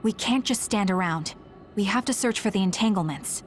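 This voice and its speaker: A woman's voice: mid-range voice, normal speed, close to an everyday voice. A woman playing a cool, resolute young female fighter in an English game dub, with music behind her.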